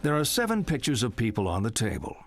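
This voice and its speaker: A man playing an older, morbid psychiatrist in a video game, deliberate, needling and theatrical. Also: medium pitch, wildly swooping pitch. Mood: brisk, condescending.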